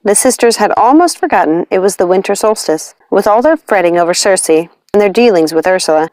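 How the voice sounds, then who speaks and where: A woman reading a fantasy storybook aloud in an expressive narrating style. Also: mid-range voice, brisk, no room sound, poor audio. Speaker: audiobook reader.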